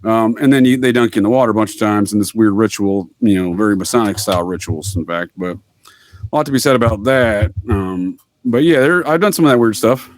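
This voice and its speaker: A man's voice: fairly low pitch, a little fast, no echo. A man from the United States explaining things casually as a podcast guest, with wry, folksy asides.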